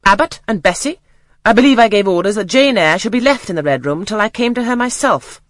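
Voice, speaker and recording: A cold, commanding aunt, voiced by a reader, gives peremptory, clipped orders. The reading is dry and close, with lively pitch. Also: fairly quick, no room sound, close to an everyday voice. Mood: severe. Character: stern, cold aunt, a commanding older woman. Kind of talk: audiobook character reading.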